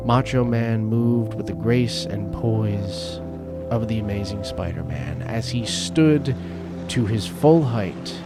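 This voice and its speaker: A man reading a scripted story as a podcast host, in a dramatic, deliberate and emphatic way. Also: lowish voice. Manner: theatrical, deliberate, emphatic phrasing. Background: prominent background music.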